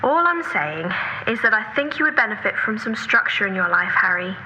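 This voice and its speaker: A woman's voice: mid-range voice, very animated, a little fast, background noise, lightly acted. A woman acting an earnest, orderly, nagging sister in a naturalistic audio drama, exasperated but caring and persistent in her lecturing. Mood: pleading.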